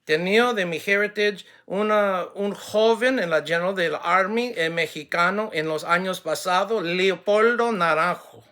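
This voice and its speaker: Man in halting, non-fluent Spanish. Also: high voice, bright, forceful tone. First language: English. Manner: earnest, formal, ends with blessings. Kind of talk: direct address to camera.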